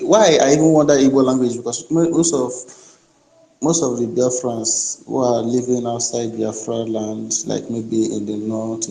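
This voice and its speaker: A man with a Nigerian accent giving his opinion informally in a group discussion, halting as he searches for words. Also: medium pitch, creaky, warm tone. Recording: echoey room on online call.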